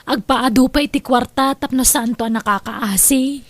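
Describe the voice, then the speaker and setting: Radio drama role, woman acting: Ilocano big sister in debt, high voice, emotional, quick to argue. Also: no echo. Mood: resentful. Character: hard-up, combative older sister deep in debt.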